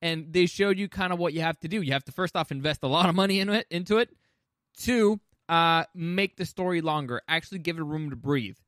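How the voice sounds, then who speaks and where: A man with a fairly high voice, recorded close and dry. He talks as a podcast co-host in loose, casual conversation, opinionated and quick to swear. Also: fairly bright tone, no echo. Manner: opinionated, profane. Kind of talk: casual chat about film and game adaptations.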